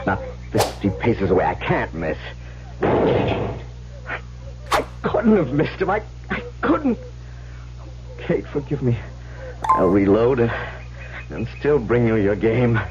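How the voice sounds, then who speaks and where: An actor playing an anxious, earnest young huntsman in love, with halting, theatrical delivery in a radio drama. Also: medium pitch, big pitch swings. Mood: intense, desperate. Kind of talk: old-time radio drama acting. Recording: muffled, lightly noisy old radio broadcast.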